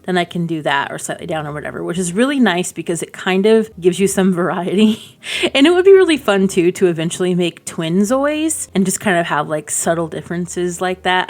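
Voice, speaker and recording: Chatty woman doing casual gameplay commentary, lower-pitched. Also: vocal fry. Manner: chatty, rambling, informal, frequent "like" asides. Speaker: gaming video creator and host.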